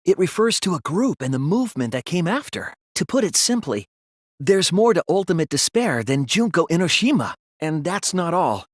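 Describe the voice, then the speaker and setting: A man voicing an earnest, thoughtful teenage detective in a video game, reasoning aloud in a deliberate, resolute way. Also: a little high, big pitch swings, no room sound, clean studio sound. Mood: grave, reasoning. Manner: deliberate, reasoning aloud, emphatic when refuting. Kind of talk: English video game voice acting, dramatic deduction scenes.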